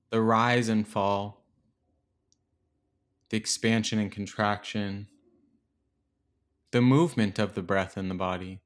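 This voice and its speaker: Low-voiced man guiding meditation. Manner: gentle, unhurried instruction with long pauses. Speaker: meditation guide. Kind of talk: guided meditation.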